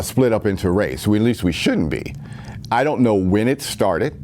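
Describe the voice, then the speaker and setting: A man's voice: on the low side, a little mellow, slight background noise. A man giving an earnest, rambling spoken monologue with many restarts, recorded at home.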